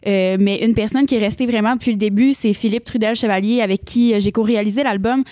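A young woman in her twenties from Quebec, speaking with a Quebec French accent as a singer-songwriter guest in a casual interview, animated and laughing often. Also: medium pitch, fast, dry recording. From Quebec, Canada. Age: 20s. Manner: animated, laughs often, many fillers and restarts.

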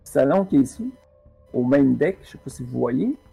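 Brief: husky, gravelly man running a tabletop game, calm describer, dark tone. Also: medium pitch, normal speed, soft background music. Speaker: game master.

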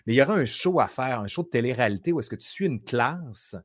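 A man's voice: mid-range voice, quick pace. Man, quebec french accent, casual storyteller, acts out his thoughts. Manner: animated storytelling, acts out quoted inner voices. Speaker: guest. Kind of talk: casual conversation.

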